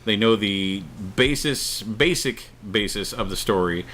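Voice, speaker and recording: A man's voice: lowish voice, unhurried, a bit bright. A middle-aged man co-hosting a casual opinion show, talking emphatically and repeating himself for stress. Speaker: co-host of a show. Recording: clear background noise, room ambience.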